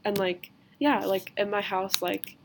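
A young woman in her twenties from the States, talking loosely on a casual podcast and dropping "like" into nearly every phrase. Her pitch sits in an ordinary middle range. A faint hiss of noise runs under the recording. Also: fairly even intonation, unhurried, gravelly. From United States. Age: 20s. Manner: says "like" constantly, loose and chatty. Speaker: podcast host. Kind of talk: casual chat.

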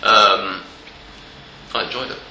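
A man hosting a casual football podcast with a stuffed-up, congested voice, talking in a relaxed, rambling way with many fillers, recorded in a living room. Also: lowish voice, slow pace, echoey room, slight background noise, low-quality recording. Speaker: podcast host.

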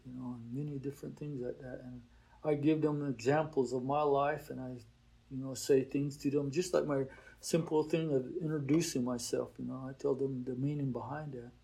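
An older man speaks at an unhurried pace in an interview, reflecting as he goes. His voice is dark and relaxed. He keeps it conversational, with plenty of "you know.". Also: medium pitch, vocal fry, rough recording. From Oklahoma. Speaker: teacher at a boarding school.

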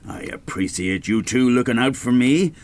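An older man with an Irish accent playing a querulous, gullible retired Dublin father in a radio play, expressive and a little hesitant. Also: mid-range voice, moderate pace, lightly acted. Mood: self-satisfied, musing. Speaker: radio drama actor.

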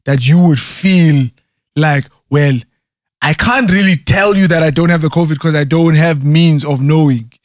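A man's voice: fairly high pitch, normal speed, dry recording, studio quality. A man in casual chat who rambles, restarts often and keeps checking in with "right?". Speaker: conversation partner.

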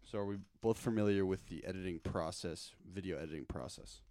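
A young man hosting a podcast in a recording studio, relaxed and jokey, calling people bro. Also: sits low, fairly quick, dry recording. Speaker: podcast host. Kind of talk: casual podcast interview.

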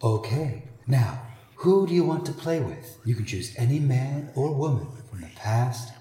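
A man's voice: medium pitch, animated, unhurried, mellow, natural voice. A man playing an obliging, weary fantasy machine operator in a comedy radio play, speaking in a patient, matter-of-fact customer-service way. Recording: roomy echo.

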